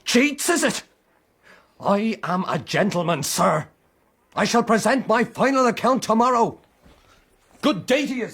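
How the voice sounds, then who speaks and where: An actor playing a slippery, easily affronted land agent with an oily manner in a radio drama. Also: male voice, sits high, lively intonation, measured pace, long pauses, fairly bright tone, slightly rough, full character voice.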